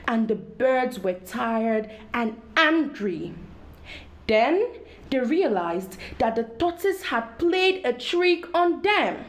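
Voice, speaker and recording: A woman reading a story aloud to children in an expressive storytelling style, addressing young listeners directly. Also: on the high side.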